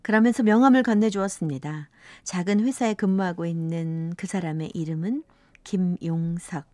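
A woman's voice: fairly low pitch, moderate pace, warm tone, dry recording, natural voice. A woman playing a wistful narrator in her fifties, telling a sentimental first-love story for a radio drama. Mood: wistful, reflective. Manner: sentimental, reflective.

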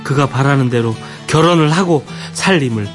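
A man's voice: mid-range voice, moderate pace, music in the background. A man on a radio show, expressively telling a listener's story.

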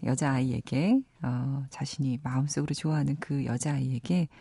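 A woman's voice: extremely low pitch, steady tone, moderate pace, vocal fry, mellow, breathy edge, dry recording. A woman in her thirties from South Korea hosting a radio program, moving between scripted narration and chatty talk, close on a studio microphone. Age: 30s. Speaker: radio host of a movie program.

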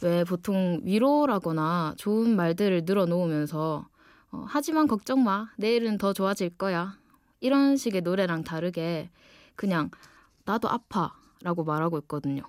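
A woman's voice: fairly low pitch, expressive, moderate pace, darkish tone, dry recording, studio quality. A twenty-year-old woman with a Gyeongsang accent from Ulsan, hosting a late-night radio show as a candid, reflective personal monologue. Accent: Gyeongsang (Ulsan) Korean. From Ulsan, South Korea. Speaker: guest late-night radio DJ, design student.

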